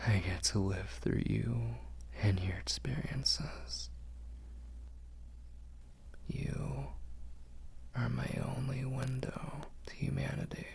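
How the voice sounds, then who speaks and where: A man playing a protective, tender vampire lord in an intimate ASMR roleplay, whispering in a breathy, husky, reassuring way. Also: mid-range voice, full character voice. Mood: wistful, intimate. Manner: slow, hushed, reassuring. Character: protective, tender male vampire lord soothing his human.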